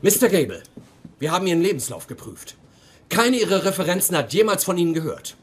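An actor playing a formal, matter-of-fact personnel manager in a dubbed television sitcom. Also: male voice, high voice, very animated, fairly quick, a little hiss or hum. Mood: stern.

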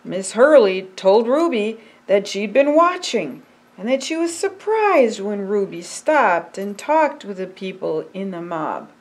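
An older woman, a pastor, reading a children's picture book aloud in a deliberate, expressive storytelling manner. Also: mid-range voice, poor audio. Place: indoors by a fireplace.

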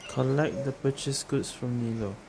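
A woman's voice: a bass voice, moderate pace, very noisy. A woman giving casual commentary as she plays a video game. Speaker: streamer playing a game. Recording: loud game music behind.

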